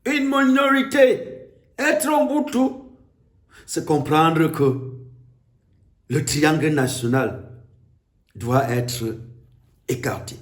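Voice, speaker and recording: A man with a Cameroonian accent giving an emphatic, declamatory political speech, with deliberate pauses. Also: a little high, lively intonation. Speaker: political speaker. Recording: echoey room.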